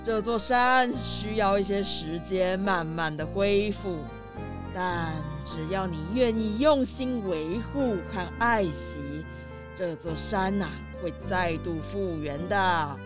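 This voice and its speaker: A woman playing a gentle, wise old grandfather in a children's audio drama. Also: medium pitch, slow, music in the background, close to an everyday voice. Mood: gentle, forgiving.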